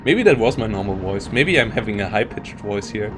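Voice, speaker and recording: A man's voice: lowish voice, lively intonation, a little fast, over music. A man commentating a live strategy game tournament, talking in loose, self-interrupting bursts. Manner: rambling, self-interrupting, frequent restarts. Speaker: esports caster.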